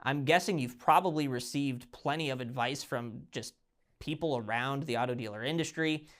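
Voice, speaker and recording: A man's voice: medium pitch, moderate pace, a bit bright. Casual male interview host. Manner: friendly, casual interviewer with "kinda" fillers. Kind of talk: hosted video interview.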